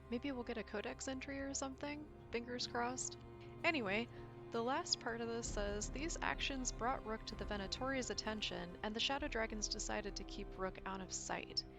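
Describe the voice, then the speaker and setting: A woman's voice: a little high, brisk. A woman presenting informal video commentary as a gaming lore creator, speaking in a conversational, enthusiastic way. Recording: music behind the voice. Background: background music.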